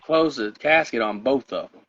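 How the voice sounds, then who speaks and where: A man's voice: mid-range voice, normal speed, a bit bright. Man, tired co-host, voice wearing thin, rambling, dull lo-fi sound. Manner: rambling, tired, laughs often. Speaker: podcast co-host. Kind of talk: casual chat about wrestling.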